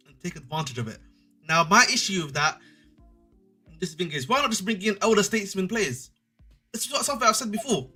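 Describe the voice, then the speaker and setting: Animated young man with a bright, husky voice. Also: sits high. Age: 20s. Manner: rambling, animated, slangy, repeats himself. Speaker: podcast co-host. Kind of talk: casual football chat.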